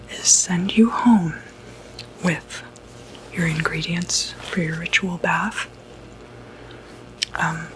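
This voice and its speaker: ASMR roleplay: woman plays a gentle exorcist doctor, whispered, very slow, soothing. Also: on the low side, rough recording. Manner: hushed, unhurried, hesitant, soothing. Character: gentle, reassuring doctor who treats demonic possession.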